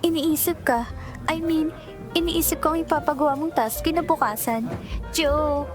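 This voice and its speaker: A woman's voice: high voice, steady tone, moderate pace, music in the background, natural voice. A woman playing an eager young employee with a Filipino accent in a romantic audio drama, polite to her boss and secretly smitten. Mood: shy, teasing. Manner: polite and deferential, with flustered girlish asides. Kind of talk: romantic audio drama acting, Tagalog-English mix.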